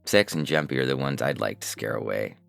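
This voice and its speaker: A man's voice: rumbling low, brisk, vocal fry, a bit bright, no room sound. A man narrating a scripted first-person science fiction story as its reader. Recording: music bed under the voice. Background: music.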